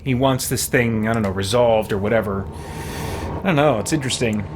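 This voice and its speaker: A man giving casual live-stream game commentary, thinking out loud and reading chat messages. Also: mid-range voice, fairly quick, noisy background. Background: game music.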